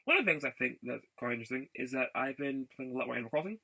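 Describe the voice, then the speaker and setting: A man giving a casual, rambling vlog update in a gravelly voice, haltingly and often repeating his phrases. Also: medium pitch, animated, sharp tone. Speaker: video blogger.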